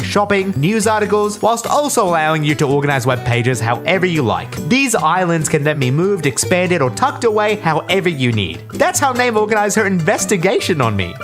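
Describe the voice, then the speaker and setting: A young man telling scripted personal stories as a YouTube storyteller, expressive and self-deprecating. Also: a little high. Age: young adult. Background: background music.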